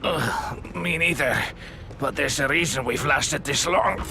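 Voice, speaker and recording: An actor playing a cautious, businesslike, skeptical gangster in a video game. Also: male voice, fairly high pitch, unhurried, background noise.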